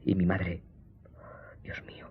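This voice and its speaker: An actor playing an anguished young nobleman in a radio drama, with a husky, breathy voice and a formal, emotional way of speaking. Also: takes it slowly, in a whisper, narrow, tinny audio, close to an everyday voice. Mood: wounded, brooding. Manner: emotional, formal old-fashioned address. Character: anguished young French nobleman shamed by his father's treason.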